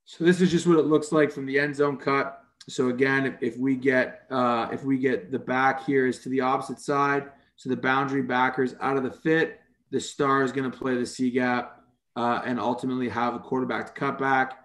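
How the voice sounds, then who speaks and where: A man's voice: medium pitch, level tone, moderate pace, a bit bright, echoey room. A man from Canada presenting a football coaching clinic over a video call, explaining defensive structures in a casual way with many fillers. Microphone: video call microphone.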